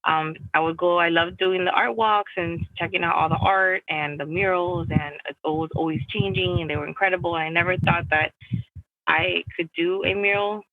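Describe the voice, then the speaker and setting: A woman's voice: lowish voice, fairly even intonation, moderate pace, no echo, phone-quality sound. A young adult woman from Miami, answering interview questions in a casual, rambling way with many restarts and "like" fillers. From United States (Miami). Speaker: guest artist.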